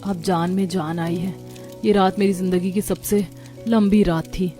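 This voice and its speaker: A woman voicing young, expressive newlywed sisters in dramatized story dialogue. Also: lowish voice, a little slow, soft background music, lightly acted. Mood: relieved.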